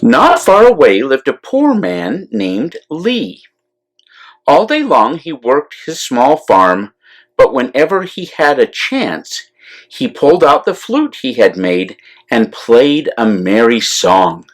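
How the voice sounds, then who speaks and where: A man reading a picture book aloud to children as a storyteller, deliberate and expressive, with explanatory asides. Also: medium pitch. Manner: deliberate, animated, explanatory asides to young listeners.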